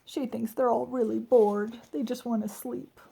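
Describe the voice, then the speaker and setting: A woman teacher reading a children's story aloud expressively, pausing to explain in an emphatic way. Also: fairly high pitch, steady tone, gravelly, mellow, slightly rough.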